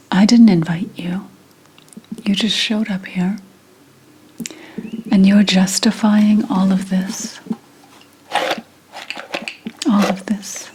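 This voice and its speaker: Slow whispering witch, played by a woman. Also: mid-range voice, darkish tone, breathy edge. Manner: slow, deliberate, scornful whispering with pauses and sighs. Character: indignant 17th-century witch, bitter potion-maker and healer. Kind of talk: whispered ASMR roleplay.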